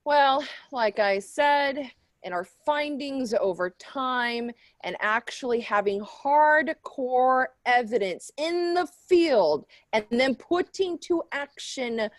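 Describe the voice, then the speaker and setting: A woman's voice: high-pitched, no room sound, heavily acted. A woman playing a condescending, self-assured research expert in an improv comedy sketch, lecturing dismissively. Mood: smug, deliberate.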